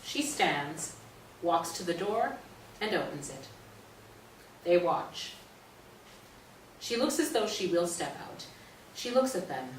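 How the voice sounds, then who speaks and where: A woman's voice: fairly low pitch, sing-song, takes it slowly, pauses often. A woman narrating scripted stage directions at a play reading. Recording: roomy, distant mic, faint noise.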